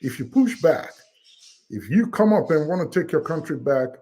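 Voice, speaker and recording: A man's voice: on the high side, very animated, normal speed, warm tone. A man from Nigeria with a Nigerian accent, talking through his views in a panel discussion with many fillers and restarts. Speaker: panel participant. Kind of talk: casual discussion. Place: United States.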